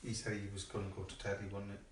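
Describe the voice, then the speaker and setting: A man's voice: lowish voice, monotone, very fast. A man chatting casually and giving customer advice as a shop helper, away from the main microphone. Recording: distant roomy echo with noticeable noise.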